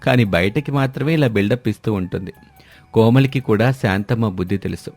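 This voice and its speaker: A man's voice: fairly low pitch, quick pace, darkish tone. A man narrating a scripted moral story as its storyteller. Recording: music behind the voice. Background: music.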